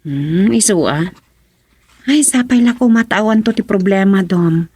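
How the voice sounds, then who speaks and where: A woman's voice: medium pitch, measured pace, dark tone, breathy edge, dry recording. A woman playing a worried, fretful townswoman in an Ilocano radio comedy drama, speaking with an Ilocano accent. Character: worried, fretful townswoman wary of illegal recruiters.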